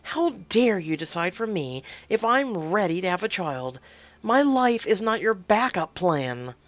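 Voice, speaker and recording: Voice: mid-range voice, lively intonation, normal speed, gravelly, fairly bright tone, no room sound, pitched above the performer's own voice. A narrator voicing a furious, hurt young woman, indignant and emphatic, in an audio drama. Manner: indignant, emphatic, emotionally volatile.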